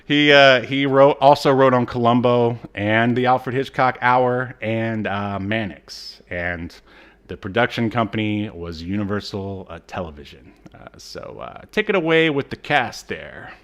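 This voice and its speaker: A man's voice: medium pitch, measured pace, a bit bright. A man co-hosting a podcast, chatting casually and reading from notes with joking, wry asides. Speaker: podcast co-host.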